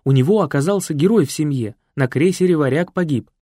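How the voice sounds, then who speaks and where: A man's voice: a little high, a little fast, dry recording, studio quality, voice pushed up, lightly acted. A man doing a chatty village hostess. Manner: talkative and animated. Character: chatty, kindly village woman, a priest's daughter. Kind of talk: audiobook character voice.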